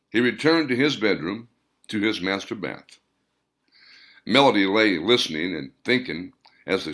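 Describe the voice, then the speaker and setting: A man narrates a fiction audiobook in a low voice. His delivery is deliberate and broken into short phrases, with lively, wide-ranging intonation. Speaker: author reading own audiobook.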